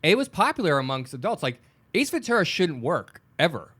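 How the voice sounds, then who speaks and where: Bright-voiced man in casual podcast chat. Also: on the high side, sing-song, a little fast. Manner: informal, profane, emphatic. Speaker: podcast co-host.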